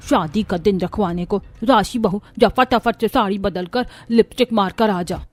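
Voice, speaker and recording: A woman's voice: medium pitch, normal speed, close to an everyday voice. A woman voicing a greedy, bossy older mother-in-law in a cartoon story, demanding and nagging with lip-smacking relish. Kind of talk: Hindi cartoon story dialogue.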